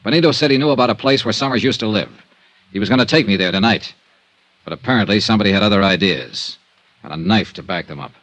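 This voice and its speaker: A man's voice: on the low side, fast, a bit bright, lightly acted, poor audio. A man playing a tough, wry American insurance investigator in a 1950s radio serial, clipped and matter-of-fact. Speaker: actor narrating and starring in a radio detective serial. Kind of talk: 1950s hardboiled radio acting.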